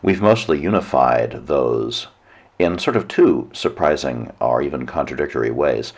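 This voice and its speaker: A hoarse man hosting a weekly radio talk show, giving a measured lecture with dry asides. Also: very deep voice, lively intonation. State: hoarse, nearly lost voice. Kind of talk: solo radio talk monologue.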